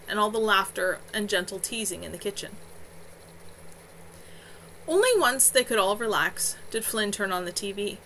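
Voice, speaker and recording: A woman reads fiction aloud in an expressive, storytelling style. Her voice is bright and forward, with a very lively pitch that moves between narration and animated dialogue. There is faint noise in the background of the home recording. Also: rough recording. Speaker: author reading own novel. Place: at home on a live stream.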